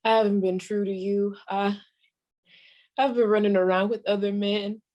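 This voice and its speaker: A woman playing a sassy old 1940s radio comic, telling stand-up stories in a folksy, deadpan way with drawn-out pauses. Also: medium pitch, lo-fi sound, lightly acted. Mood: wry storytelling. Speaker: comedian performing a monologue.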